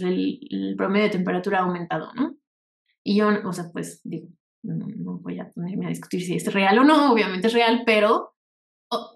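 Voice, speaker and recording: A woman with a Mexican Spanish accent giving an informal, explanatory lecture over slides, conversational and often checking in with rhetorical questions. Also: medium pitch. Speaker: workshop instructor. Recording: echoey room sound.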